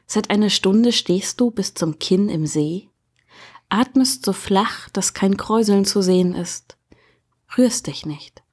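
A woman reading as the hushed, literary narrator of an audio drama, with a breathy voice. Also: mid-range voice, slow. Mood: hushed, tense. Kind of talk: slow literary narration in second person.

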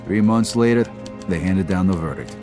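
A man tells his story in game voice-over with a dry, streetwise edge. His voice sits low. Faint music runs beneath it. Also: moderate pace. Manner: wry, world-weary, streetwise. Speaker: narrator and protagonist. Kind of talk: scripted game narration.

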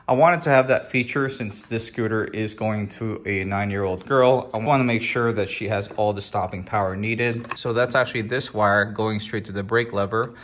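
A man's voice: fairly low pitch, a bit bright. A man giving a plain, step-by-step voice-over as he walks through a scooter repair. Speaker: DIY scooter builder. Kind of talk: tutorial voice-over.

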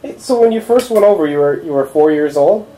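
A man interviewing a relative at home, asking gentle questions and nudging the story along with short replies. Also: a little high, lively intonation, brisk, echoey room, poor audio. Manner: gentle prompting with short backchannels. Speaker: family interviewer.

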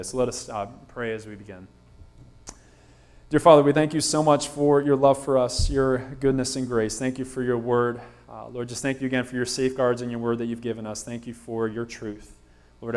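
A pastor in his thirties preaching from the front of a small church sanctuary. He talks at an even pitch and a steady pace, with frequent ums and restarts. His voice is picked up by a mic at the pulpit or by a camera across the room. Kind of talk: sermon.

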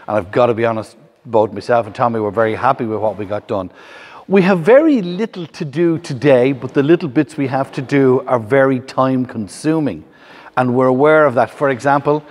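A chatty Irish man in his fifties talks straight to a camera held at arm's length, upbeat and explaining as he goes. His voice sits at an ordinary middle pitch, and the sound is close and casual, recorded in a workshop and inside a van. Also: a little mellow. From Ireland. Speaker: vlogger host. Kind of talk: casual vlog narration. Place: workshop yard and inside a van under build.

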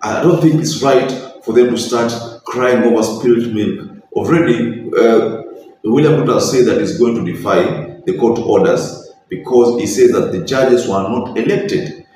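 A man's voice: medium pitch, a little slow, dark tone, slight background noise. Young Kenyan man in an echoey room. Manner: earnest, opinionated, mixes in Swahili phrases. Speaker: political commentator. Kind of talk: direct-to-camera political commentary. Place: small bedroom, indoors. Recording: very echoey room, slightly distant mic.

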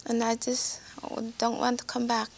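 Gravelly, halting woman from Vietnam. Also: fairly high pitch, monotone, slight background noise. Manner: halting non-native English with broken grammar. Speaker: interview guest. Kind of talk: personal life story in conversation. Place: small TV studio set.

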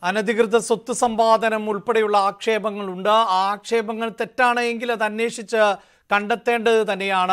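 A man's voice: high-pitched, fairly bright tone, clean tone. A man presenting news formally from a television studio. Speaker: news anchor.

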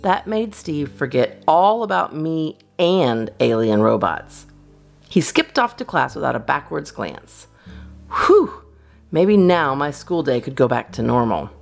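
A woman's voice: low-pitched, very animated, unhurried, creaky. A woman reading a children's storybook aloud expressively, as a storytime reader. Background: guitar music.

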